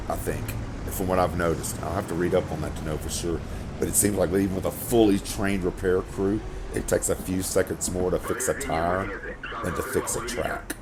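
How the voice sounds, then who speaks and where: A middle-aged man with a crackly voice, rambling through casual game commentary from his home setup. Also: sits low. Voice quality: crackly, gravelly voice. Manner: rambling, repeats words, swears when annoyed. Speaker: gaming video host. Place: home room, indoors.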